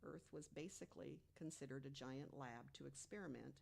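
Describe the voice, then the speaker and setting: Low-voiced woman explaining on a podcast. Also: moderate pace, gravelly, darkish tone, husky. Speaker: podcast guest panelist. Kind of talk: informal podcast storytelling from research notes.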